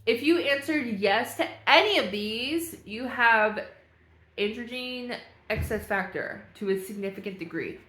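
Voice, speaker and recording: A woman's voice: fairly high pitch, unhurried, bright, forceful tone, roomy sound. A young woman vlogger chatting casually and thinking aloud as she reads through a quiz, laughing at herself now and then. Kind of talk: casual talk while reading a quiz aloud.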